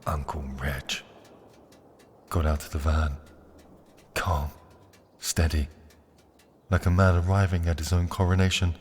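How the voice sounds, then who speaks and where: Deep, slow, brooding male narrator. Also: level tone. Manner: brooding, deliberate, dramatic pauses. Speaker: narrator and performer. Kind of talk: dark first-person scripted drama narration. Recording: clear ambient noise behind the voice.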